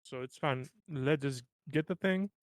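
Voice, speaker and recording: A man's voice: medium pitch, measured pace, studio quality. Brief: male game player, middle-register voice, informal suggestions at the table, no room echo. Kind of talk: casual tabletop game planning.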